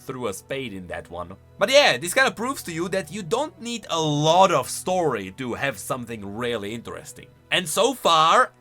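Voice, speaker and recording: A man giving casual, opinionated reaction commentary as a gaming lore commentator, in an animated manner. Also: a little high.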